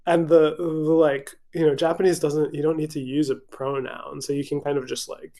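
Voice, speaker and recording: A man's voice: a little high, little pitch movement, normal speed, warm tone. A young man from Hawaii, a literary translator speaking casually on a video call, hesitant with many fillers and restarts. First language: English. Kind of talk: casual virtual event conversation.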